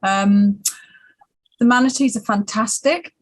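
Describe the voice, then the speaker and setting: A woman chairing an online seminar, gently and hesitantly inviting questions from the audience. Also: mid-range voice, slow pace, no echo, low-quality recording. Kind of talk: moderating an online Q&A. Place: online seminar, video call.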